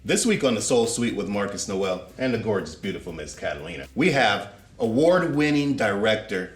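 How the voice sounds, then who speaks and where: A man's voice: lowish voice, very animated, moderate pace, echoey room, soft background music. A man hosting a casual talk show, chatting in a laid-back, teasing way. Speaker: host. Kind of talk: casual chat.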